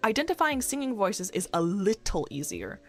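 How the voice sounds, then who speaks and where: A young woman who streams and works as a doctor, chatting casually with a fairly deep voice and a rambling, filler-heavy way of talking. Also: very animated, moderate pace, gravelly, soft background music. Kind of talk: casual chat with live chat readers. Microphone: desk microphone.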